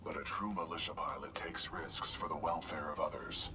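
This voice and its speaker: A man voicing a calm, logical military robot companion in a video game, measured and formal, with robotic processing. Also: a bass voice, very noisy. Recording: distant, loud game music behind.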